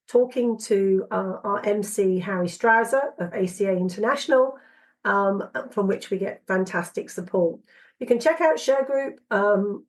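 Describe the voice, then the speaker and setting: A woman hosts a relaxed interview, her voice slightly hoarse from a cold. She hesitates often and restarts her sentences. Her pitch sits in the middle. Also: poor audio. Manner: halting, many ums and restarts. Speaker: podcast host. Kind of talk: casual interview chat.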